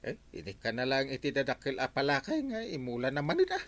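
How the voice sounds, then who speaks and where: A man playing a teasing, excitable village captain in a comedic Ilocano radio drama, with an Ilocano accent and lots of exclaiming. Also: mid-range voice, fast, no echo. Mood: teasing, amused. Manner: animated, given to exclaiming and chuckling.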